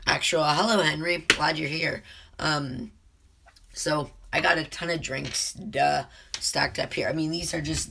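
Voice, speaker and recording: A man hosting his own live stream while sick with a cold, his voice deeper than usual, rambling and answering chat with lots of ums. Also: vocal fry, background noise. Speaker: livestream host.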